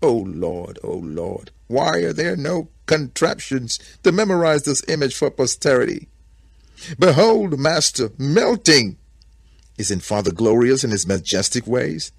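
A man voicing an exuberant, reverent talking bear from a storybook, with declamatory, drawn-out exclamations. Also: mid-range voice, sing-song, gravelly, husky, no echo, clean studio sound, pitched above the performer's own voice, heavily acted. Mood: awestruck. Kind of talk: dramatic storybook character voice.

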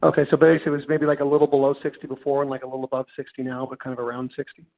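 Man, financial analyst, quick talker, vocal fry, informal questions, phone-quality audio. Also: medium pitch, completely flat delivery. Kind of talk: informal, unscripted earnings call questions. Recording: tinny phone line.

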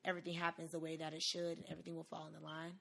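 Fast-talking young woman, candid and emphatic. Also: sits low, completely flat delivery, gravelly, fairly bright tone. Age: twenties. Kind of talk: casual conversational advice. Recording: noticeable background noise.

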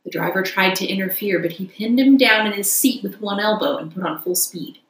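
A woman's voice: medium pitch, fairly quick, roomy sound, poor audio. A woman narrating a classic children's story expressively, as an audiobook reader.

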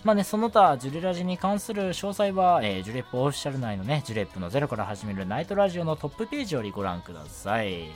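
A man's voice: medium pitch, animated, rapid-fire, few pauses. A young man hosting a casual solo radio show, talking politely and chattily with frequent short affirmations. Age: young adult. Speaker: radio show host, amateur voice actor. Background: background music.

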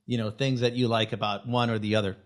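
A man from Venezuela whose first language is Spanish, hosting a casual podcast interview with lively questions. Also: fairly low pitch, fast talker. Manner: short backchannels, lively questions. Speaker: podcast host.

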